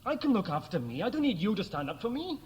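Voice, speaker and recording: Sulky young brother, highish voice, lively swings, 1960s radio drama, faint tape hiss. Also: male voice, fast. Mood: resentful, angry. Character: touchy, sullen young bridegroom, resentful younger brother. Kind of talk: 1960s radio play acting. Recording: hissy 1960s radio recording.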